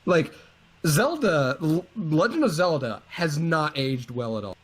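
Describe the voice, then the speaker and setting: A man's voice: fairly high pitch, sing-song, measured pace, poor audio. A man co-hosting a casual discussion of video games, informal and opinionated with a joking streak. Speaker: co-host of a video game series.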